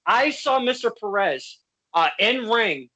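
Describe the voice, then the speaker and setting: A man's voice: high-pitched, animated, slow pace, bright, forceful tone. A man from Connecticut hosting a casual interview podcast, boisterous and playful, swearing freely. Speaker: podcast host.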